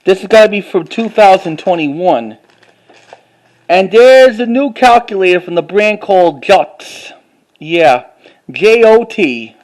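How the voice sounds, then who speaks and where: High-pitched man showing off collectibles. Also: lively intonation, takes it slowly, darkish tone, low-quality recording. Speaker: vlogger. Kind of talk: casual show-and-tell of collectibles.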